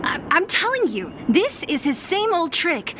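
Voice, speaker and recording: A woman's voice: very high voice, very animated, measured pace, fairly bright tone, a little hiss or hum, full character voice. An actress playing a brash, streetwise young woman fighter in a video game, teasing and blunt. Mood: exasperated, accusing. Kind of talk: video game cutscene voice acting.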